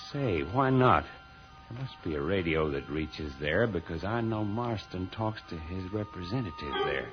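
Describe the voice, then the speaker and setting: An actor playing a brave, worried, resourceful sidekick muttering urgently to himself in an old-time radio serial. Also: male voice, low-pitched. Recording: muffled old radio recording.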